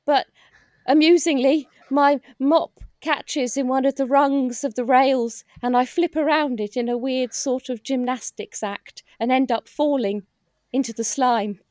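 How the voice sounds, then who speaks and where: A woman's voice: sits high, fairly even intonation, unhurried, a little mellow, dry recording. A woman playing an earnest, helpful but hapless cleaner in an improvised comedy roleplaying game.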